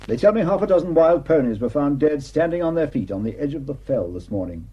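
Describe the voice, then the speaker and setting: A man's voice: a little high, lively intonation, fast talker, dark tone, husky, muffled recording. A man acting a sardonic police superintendent in a radio drama, questioning with wry insistence. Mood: wry, courteous. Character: sardonic, probing police superintendent investigating a murder. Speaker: actor.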